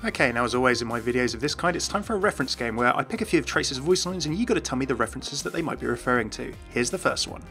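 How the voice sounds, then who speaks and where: A man's voice: medium pitch, expressive, racing, few pauses, bright, forceful tone, soft background music. A man giving scripted commentary on video game lore as a host.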